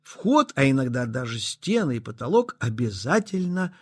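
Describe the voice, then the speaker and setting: A man narrating a scripted children's nature story as storyteller, with expressive, deliberate phrasing. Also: medium pitch.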